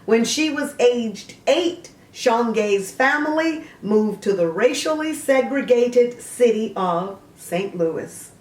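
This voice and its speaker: Slow, deliberate woman in an echoey room. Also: on the high side, expressive. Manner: emphatic, deliberate, broken into short measured phrases. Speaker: host of a literary video show. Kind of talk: dramatic, theatrical vlog monologue, partly reading aloud. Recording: roomy echo, distant mic, faint noise.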